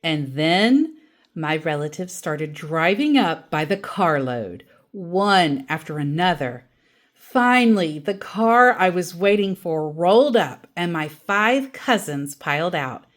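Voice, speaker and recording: Slow, lively woman storyteller. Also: lowish voice. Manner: acts out quoted dialogue expressively. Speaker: storyteller reading a children's book aloud. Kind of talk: animated read-aloud storytelling.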